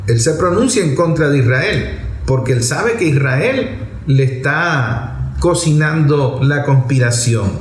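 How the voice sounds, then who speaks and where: Male political commentator, Venezuelan accent, slightly high voice, emphatic phrasing, unscripted, in a roomy echoing recording. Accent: Venezuelan Spanish. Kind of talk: political monologue, spoken off the cuff. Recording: echoey room with faint background noise.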